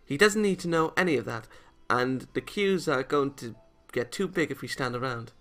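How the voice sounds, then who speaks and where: A man's voice: mid-range voice, expressive, moderate pace, fairly bright tone, faint music behind, lightly acted. A man voicing cheerful, pushy anime schoolgirls from a visual novel. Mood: urgent, insistent.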